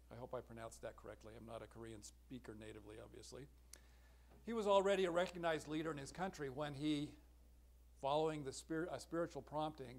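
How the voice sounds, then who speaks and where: Middle-aged man, conference lecturer at a podium mic, measured and earnest, fairly high voice. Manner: measured, earnest, clears throat now and then. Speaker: symposium speaker. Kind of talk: academic conference talk. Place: large lecture hall at a podium. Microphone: podium microphone, far camera.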